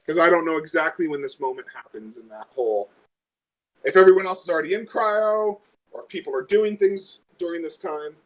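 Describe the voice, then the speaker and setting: A man's voice: a little high, fairly quick, highs cut off. A man playing a tabletop role-playing game, casually describing his character's actions and thinking aloud hesitantly.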